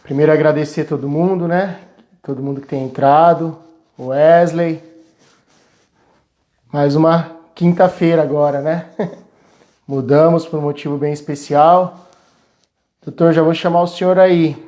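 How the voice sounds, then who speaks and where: A man's voice: a little high, fairly even intonation, a little slow, pauses often, mellow. A man with a São Paulo countryside Brazilian accent, chatting in a friendly, informal way as host of a live interview. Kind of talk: casual live interview chat. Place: remote video call livestream.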